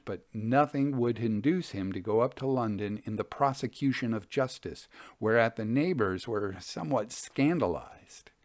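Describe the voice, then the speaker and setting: A man hosting and reading old ghost stories as scripted narration, in a measured storytelling manner. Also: medium pitch, a little mellow, no echo, studio quality.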